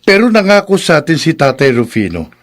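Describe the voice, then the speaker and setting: A hot-headed middle-aged husband in a Filipino radio drama. His voice sits a little high and moves widely in pitch. He is emphatic and stubborn, with a Filipino accent. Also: huge pitch swings, brisk. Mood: defiant.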